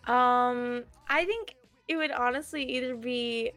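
Young woman, from Alabama, podcast guest, bubbly and giggly, higher-pitched voice, soft music in the background. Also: slow. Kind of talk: casual chat.

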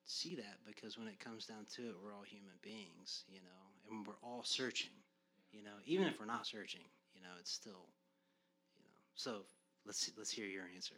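A man's voice: on the low side, steady tone, moderate pace, pushed and bright. A man hosting a podcast, talking earnestly in a loose, rambling way full of fillers. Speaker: podcast host. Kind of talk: casual conversation.

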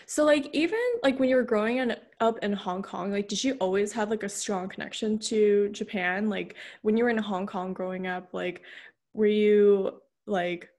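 A woman's voice: medium pitch, steady tone, normal speed, darkish tone. Young American woman interviewing casually. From United States. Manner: informal, giggly, frequent "like". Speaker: podcast interviewer.

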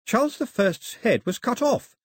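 A man's voice: very high voice, lively intonation, moderate pace, slightly rough, dry recording, studio quality, pitched above the performer's own voice, heavily acted. Man doing a plucky, defiant young girl. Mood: challenging. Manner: pointed, plucky. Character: spirited, defiant young upper-class girl. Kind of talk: audiobook character voice.

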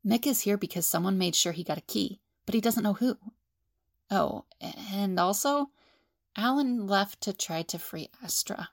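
An actress playing a calm, serious, sensible seer in an audio drama, measured and earnest. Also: female voice, medium pitch, gravelly, dry recording, studio quality.

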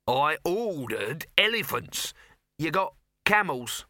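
Casting: male narrator as slick, excitable movie hustler, piercingly forceful, bossy and gushing, pitch jumping about. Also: slow pace, dry recording, studio quality, full character voice. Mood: exasperated. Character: pushy, excitable, slick male film promoter.

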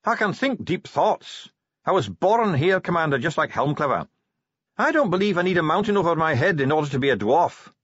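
A man putting on a soft-spoken young dwarf, polite and quick-witted, pitched well above an ordinary male voice with a lively, husky lilt. The recording is dry and a bit dull. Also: dry recording, exaggerated voice. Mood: mild.

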